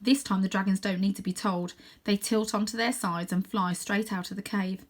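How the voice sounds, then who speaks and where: A woman narrating a children's story in a dramatic, expressive, suspenseful way with clear emphasis. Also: mid-range voice, normal speed. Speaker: storybook reader, teacher.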